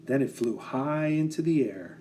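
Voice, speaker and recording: A man reading a children's picture book aloud with expressive, dramatic phrasing. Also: medium pitch, moderate pace, slight background noise.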